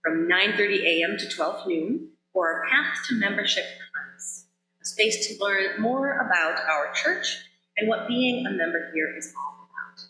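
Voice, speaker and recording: Female minister for a service welcome. Bright, clear-cut projection, measured and deliberate, roomy church acoustic. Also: medium pitch, animated, slight background noise. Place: indoors in a church sanctuary. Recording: roomy, echoing church sanctuary.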